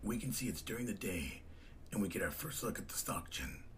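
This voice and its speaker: A man's voice: low-pitched, sing-song, fairly quick, a bit bright, slight background noise. A man reading scripted, descriptive narration for a comic dub.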